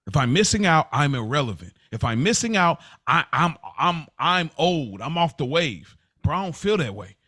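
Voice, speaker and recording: A man's voice: a little high, big pitch swings, normal speed, few pauses, pushed and bright, slightly rough, no echo. Male podcast host, close dry sound. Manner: emphatic, repeats phrases to build a point. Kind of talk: casual podcast conversation.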